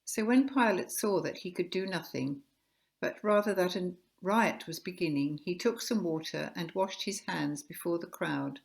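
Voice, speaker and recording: A woman's voice: fairly low pitch, moderate pace, creaky. A woman narrating scripture from a script, recorded at home.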